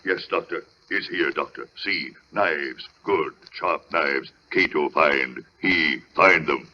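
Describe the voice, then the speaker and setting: A man's voice: a bass voice, slow, sharp tone, full character voice. An actor playing a hulking, simple-minded, obedient henchman who speaks in broken, childlike phrases in an old radio thriller. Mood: obedient eagerness. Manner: broken, childlike third-person speech. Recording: muffled old radio broadcast, music behind.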